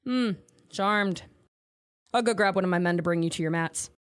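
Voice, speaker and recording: A woman's voice: lowish voice, normal speed, clean tone, no room sound, studio quality, lightly acted. A woman playing a resolute, persuasive resistance leader in a post-apocalyptic audio drama, composed and commanding. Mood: composed, welcoming.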